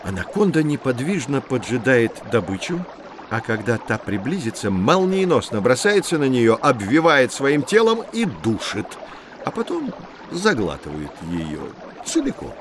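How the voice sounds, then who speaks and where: A man's voice: medium pitch, sing-song, noisy background, natural voice. Man playing a patient, didactic nature guide. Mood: explaining. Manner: measured, didactic. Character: patient, knowledgeable adult male nature guide and teacher. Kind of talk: scripted explanation in children's educational audio drama.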